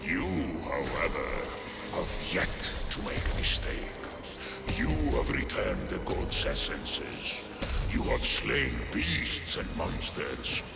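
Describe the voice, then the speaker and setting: A gravelly, booming monster villain. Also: male voice, very animated, slow, reverberant, loud music behind the voice, exaggerated voice. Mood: menacing, scornful. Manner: grandiose, menacing, theatrical declaiming. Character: boastful monstrous male titan villain, menacing and theatrical. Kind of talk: dramatic video game villain acting.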